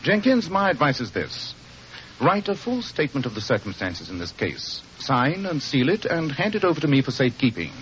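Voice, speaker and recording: An actor playing a brilliant, commanding gentleman detective in a radio drama, with a British accent and clipped, precise delivery. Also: medium pitch, huge pitch swings, fairly quick. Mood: commanding, probing. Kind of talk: old-time radio detective drama acting. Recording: muffled, noisy old radio recording.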